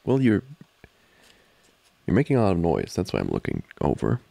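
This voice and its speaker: A man with a low, heavily creaky voice plays a flustered studying boyfriend in an ASMR roleplay. He talks slowly and hesitantly, close to the mic, with pitch that rises and dips often. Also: wildly swooping pitch, warm tone, no echo. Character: flustered, distracted studying boyfriend, teasing and affectionate. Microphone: close microphone, dry.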